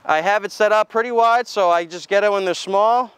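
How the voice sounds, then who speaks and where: A man's voice: high-pitched, brisk, fairly bright tone, smooth, no echo. A young man, a farmer giving a casual outdoor walkthrough of his equipment, talking plainly with lots of fillers and restarts. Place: outdoors in a farmyard.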